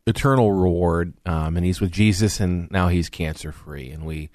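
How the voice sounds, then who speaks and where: A man's voice: low-pitched, moderate pace, darkish tone, no room sound. A man hosting a radio talk show from a studio, earnest and rambling with restarts.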